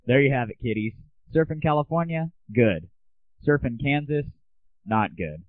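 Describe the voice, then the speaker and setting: A man's voice: medium pitch, very animated, moderate pace. A young man hosting a comic internet audio show with a sarcastic, cocky delivery. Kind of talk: scripted comic monologue. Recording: tinny, band-limited lo-fi audio.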